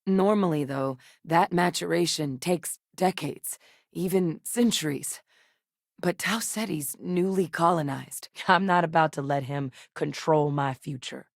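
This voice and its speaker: A low-voiced, earnest military scientist woman. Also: measured pace, gravelly, no echo, clean studio sound. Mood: earnest, measured. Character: determined, professional military scientist woman in a sci-fi world. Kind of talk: scripted video game character dialogue.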